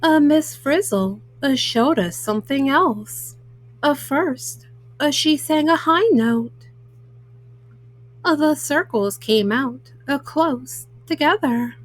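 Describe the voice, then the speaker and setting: A woman reads a children's picture book aloud, haltingly, often stopping on small hesitant fillers. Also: sits high, expressive, no echo. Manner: halting, word-by-word reading with frequent hesitant fillers. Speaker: storyteller, host of a children's read-aloud show.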